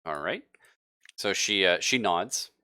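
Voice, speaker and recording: A man's voice: sits low, expressive, slow pace, bright, forceful tone, clean tone, no echo, studio quality. A man speaking as game master, improvising narration of a tabletop game in an informal, explanatory way.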